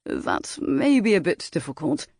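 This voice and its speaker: A woman's voice: low-pitched, wildly swooping pitch, a little slow, darkish tone, dry recording, studio quality, heavily acted. A woman voicing a languid, teasing aristocratic rake who drawls his lines.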